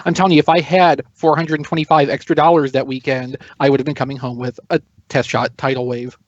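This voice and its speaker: A man's voice: medium pitch, level tone, fairly quick, no echo. A male podcast panelist chatting casually in a wry way over a slightly dull voice call. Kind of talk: casual chat.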